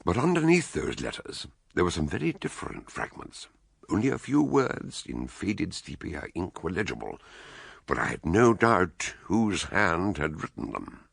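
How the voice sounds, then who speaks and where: An actor playing a ponderous, learned doctor sleuth who tells his case in a measured, theatrical, literary way in a radio drama. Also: low-pitched, very animated, vocal fry, fairly bright tone, husky, no room sound, studio quality, full character voice. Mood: wistful, reflective. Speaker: detective and narrator. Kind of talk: radio drama narration and dialogue.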